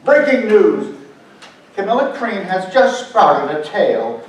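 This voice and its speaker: A man playing an excitable, showy breaking-news reporter in a stage musical. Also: sits high, takes it slowly, exaggerated voice. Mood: breathless excitement. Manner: showy, exclamatory. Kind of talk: stage musical acting, news broadcast parody. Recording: echoey hall, distant mic.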